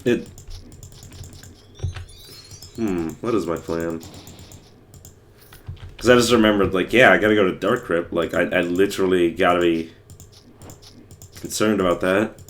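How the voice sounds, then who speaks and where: A hoarse young man who is losing his voice, rambling casually with many fillers while he streams a game. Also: deep voice, unhurried, pauses often, slight background noise. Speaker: livestreamer playing a game. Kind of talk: casual gameplay commentary.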